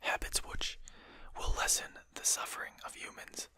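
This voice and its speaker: A performer voicing a courteous, genteel vampire in a whispered ASMR roleplay, speaking formally and deliberately in an unvoiced, breathy whisper. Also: sharp tone. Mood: reasonable, explaining. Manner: formal, polite, deliberate phrasing. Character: courteous, genteel vampire host lecturing a captured hunter.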